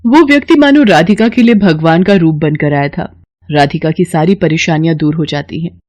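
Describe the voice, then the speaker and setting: A woman's voice: low-pitched, normal speed, a little mellow, no room sound. A woman narrating a scripted story as its storyteller.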